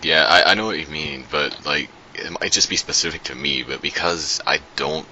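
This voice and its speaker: A man with a deep, bassy voice in casual podcast chat, speaking calmly and without much animation, recorded on a home mic. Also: normal speed, a little hiss or hum. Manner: calm, not animated. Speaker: podcast co-host, voice actor. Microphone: home USB mic. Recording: dull lo-fi backup audio.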